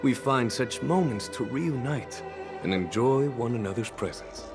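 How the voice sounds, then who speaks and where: An actor voicing a calm, composed male general who mentors a young apprentice. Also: mid-range voice, over music. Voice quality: calm, measured. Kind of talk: video game voice acting.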